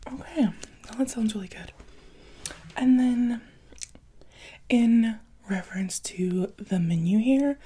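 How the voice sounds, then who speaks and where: Breathy, whispering woman in soft ASMR roleplay. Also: medium pitch. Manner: gentle, encouraging, conversational. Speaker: ASMR roleplayer playing a restaurant job interviewer.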